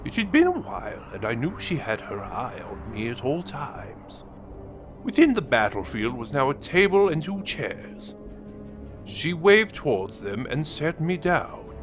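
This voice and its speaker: A man's voice: mid-range voice, big pitch swings, measured pace, faint music behind. Man acting a wildly swooping, theatrical villain. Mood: haunted, musing. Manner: theatrical, sinister, wry, savouring each phrase. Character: gleefully menacing male villain, a time-war veteran renegade. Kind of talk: dramatic scripted monologue, fan audio drama.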